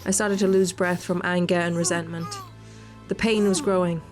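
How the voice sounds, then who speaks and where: A woman's voice: lowish voice, little pitch movement, normal speed. A woman hosting a visual novel playthrough, narrating the text and adding casual commentary. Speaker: gaming video host. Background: game music.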